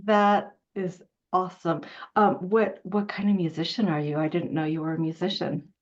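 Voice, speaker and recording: A woman's voice: on the low side, steady tone, normal speed. A woman moderating an author discussion in an informal, enthusiastic, conversational way, on a dull, band-limited recording. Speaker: interviewer, event moderator.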